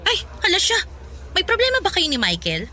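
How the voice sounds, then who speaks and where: A woman playing a blunt, teasing young female best friend in a radio romance drama, with an animated manner. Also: very high-pitched, fairly quick, background noise, exaggerated voice.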